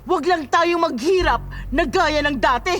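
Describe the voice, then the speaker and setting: Mabagsik na ina, napakataas ang boses. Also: female voice, high-pitched, normal speed, fairly bright tone, a little hiss or hum. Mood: irritated, defiant. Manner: scolding, combative. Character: greedy, sharp-tongued, domineering mother. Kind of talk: Filipino radio drama acting.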